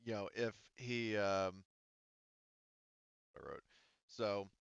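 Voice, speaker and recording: A man's voice: lowish voice, expressive, very slow, gravelly, clear, resonant tone, no room sound, muffled recording. A man acting as game master, casually explaining and clarifying details to his players.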